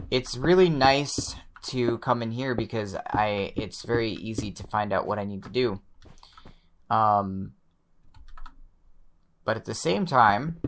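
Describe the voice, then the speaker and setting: A young man with a slightly hoarse voice, quietly talking through his gameplay, often pausing and restarting his sentences. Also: lowish voice, noisy background. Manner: thoughtful, pauses and restarts sentences; soft-spoken, many fillers. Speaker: let's play host. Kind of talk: relaxed gameplay commentary.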